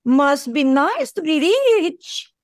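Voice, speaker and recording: A woman playing an excitable, theatrical show dog in an audio play, with a gushing, dramatic manner. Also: high-pitched, slow pace, no room sound, studio quality, heavily acted. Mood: wry.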